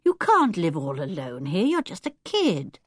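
A level-headed boy who takes charge, speaking reasonably and firmly to the others. A woman reads him with lively, shifting pitch in a close, dry recording. He sounds steady rather than excited. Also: a little slow, husky, no echo, heavily acted. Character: sensible, steady older boy, the group's leader.

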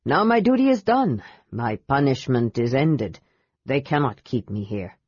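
A woman voicing a grave, wise old male gold dragon who speaks slowly and sternly. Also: very deep voice, sing-song, a little mellow, slightly rough, no room sound, dull audio, voice pushed down, exaggerated voice. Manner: stern, grave, slow and measured.

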